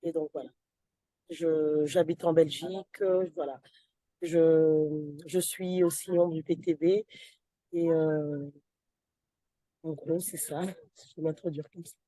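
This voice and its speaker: Woman, party representative, shy and mellow, hesitant. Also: deep voice, fairly even intonation, unhurried, long pauses, dry recording, poor audio. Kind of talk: brief self-introduction. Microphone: video call.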